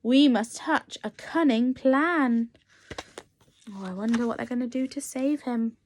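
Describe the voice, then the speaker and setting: A woman reading a picture book aloud as a nursery teacher, expressive and encouraging as she addresses young children. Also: on the high side, unhurried, a little hiss or hum. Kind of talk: animated children's storytime reading.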